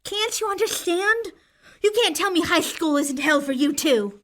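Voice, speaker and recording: A very high-pitched, bright and piercing teenage master of the dead in an audio drama. Pompous and whiny, this villain declaims grandly with sweeping melodrama. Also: female voice, animated, a little slow, exaggerated voice. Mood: wounded desperation. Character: theatrical, whiny bullied teenage necromancer villain.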